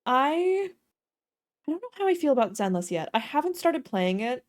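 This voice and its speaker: A woman's voice: high voice, very animated, moderate pace, darkish tone. A woman livestreaming a game with casual, slangy, self-deprecating commentary and jokes. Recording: game music playing behind voice.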